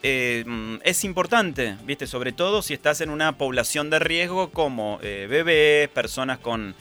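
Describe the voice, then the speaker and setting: A man's voice: a little high, animated, unhurried, pushed and bright, soft background music. A man with an Argentine accent hosting a radio show in casual, chatty conversation, with frequent fillers. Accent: Argentine Spanish. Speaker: radio host.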